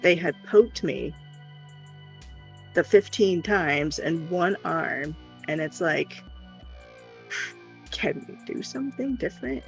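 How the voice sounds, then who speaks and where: A person chatting casually and telling personal stories in an animated way, often acting out what people said. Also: mid-range voice, slow pace, creaky, pauses often, faint music behind, rough recording. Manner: animated, acts out quoted remarks. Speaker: live stream host. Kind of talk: casual chat, personal storytelling.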